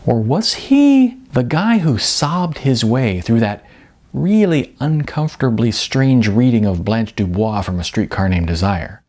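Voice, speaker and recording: A man's voice: medium pitch, expressive, measured pace, a little mellow. A man reading his own novel aloud in audiobook style, with a wry manner. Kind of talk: audiobook-style narration of fiction.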